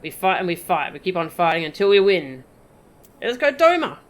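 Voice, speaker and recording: A woman's voice: fairly low pitch, big pitch swings, normal speed. A woman streaming a game with casual, playful and wry commentary.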